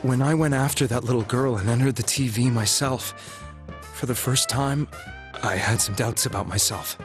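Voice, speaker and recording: A male actor as a troubled, earnest man confessing in a video game drama. His delivery is solemn and halting, heavy with guilt. Prominent music plays behind him. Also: medium pitch, natural voice. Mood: remorseful.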